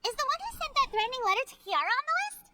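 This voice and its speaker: Squeaky, very high childlike fairy companion. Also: female voice, sing-song, very fast, background noise. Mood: curious, puzzled. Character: tiny female fairy-like companion, childlike, inquisitive, chirpy. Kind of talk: video game voice acting.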